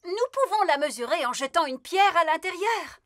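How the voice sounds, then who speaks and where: A woman's voice: extremely high pitch, expressive, moderate pace, fairly bright tone, no room sound, studio quality. A woman playing a chatty, matter-of-fact rabbit shopkeeper in a children's cartoon. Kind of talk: children's cartoon dialogue, dubbed.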